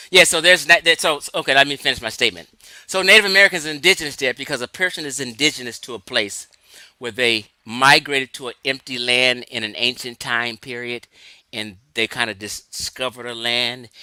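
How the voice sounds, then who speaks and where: A man's voice: mid-range voice, sharp tone, smooth, dry recording. A man from Los Angeles giving an emphatic, lecturing livestream talk, answering his chat and laughing often. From Los Angeles, California. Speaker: livestream host.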